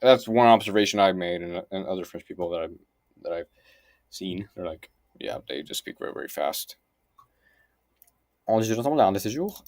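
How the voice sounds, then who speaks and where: A man's voice: low-pitched, animated, moderate pace, pauses often, darkish tone, dry recording, studio quality. A man casually commentating on on-screen lessons, thinking aloud in a mumbled aside manner. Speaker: host of a language-learning series. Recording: clearly noisy home recording.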